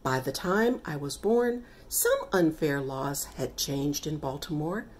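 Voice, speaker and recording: A middle-aged woman reads a children's story aloud at an unhurried pace. Her voice sits a little low and rises and falls widely, with careful, expressive phrasing aimed at young listeners. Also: a little hiss or hum. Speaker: author reading own picture book.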